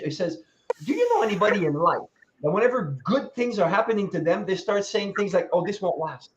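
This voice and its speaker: A man in his sixties tells motivational stories in a high, bright register. He laughs between lines and acts out the voices of the people he quotes. The sound is dull and lo-fi. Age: 60s. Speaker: guest speaker. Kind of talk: motivational storytelling.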